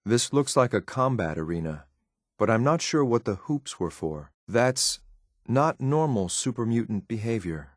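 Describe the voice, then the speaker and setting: An actor playing a cold, loyal synthetic enforcer in a video game, speaking in a clipped, formal, deadpan and disdainful way. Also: male voice, lowish voice, no echo, clean studio sound. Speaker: video game companion character. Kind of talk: scripted game voice lines.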